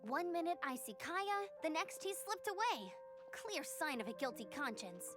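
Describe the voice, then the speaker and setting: A woman playing a perky, earnest teenage girl knight in a video game, energetic and forthright. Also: high voice, normal speed, music in the background. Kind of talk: scripted game dialogue.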